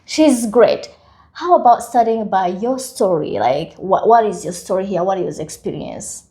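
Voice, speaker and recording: A woman's voice: mid-range voice, lively intonation, moderate pace, mellow. A woman hosting a podcast in a casual, conversational way, upbeat and welcoming to her guest. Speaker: podcast host.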